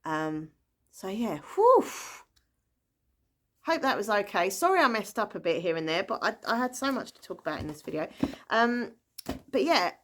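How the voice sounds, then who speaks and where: A woman's voice: medium pitch, sing-song, normal speed, long pauses, a bit bright. A woman vlogs about her reading pile in an easygoing, rambling way. Her voice sits in an ordinary middle range, and she keeps up an eager, chatty flow dotted with ums. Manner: rambling, enthusiastic, full of fillers and small laughs. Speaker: book vlogger. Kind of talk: casual chatty book talk.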